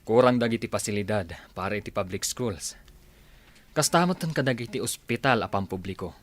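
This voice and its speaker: A man plays a deferential attorney serving a governor in a radio play. His voice is on the low side, with an Ilocano accent and an earnest, polite delivery. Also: expressive, brisk. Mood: respectful, earnest. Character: respectful, earnest male attorney, governor's chief of staff. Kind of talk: radio drama acting.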